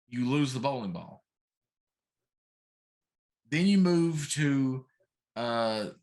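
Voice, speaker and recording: A man's voice: medium pitch, a bit bright. A middle-aged man hosting a casual talk show, chatting about games in an emphatic, complaining way.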